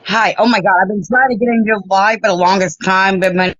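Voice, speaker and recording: Man, 50s, high voice, eager caller, dry muffled sound. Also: steady tone, fast talker, fairly bright tone, dry recording. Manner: eager, talks over the host. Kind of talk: casual chat.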